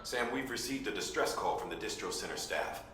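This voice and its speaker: A man acts a businesslike dispatcher in a sci-fi game. He talks fast in a fairly low, official voice, echoing over background noise. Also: lively intonation, natural voice. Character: businesslike male dispatcher giving orders. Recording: echoey call with background noise.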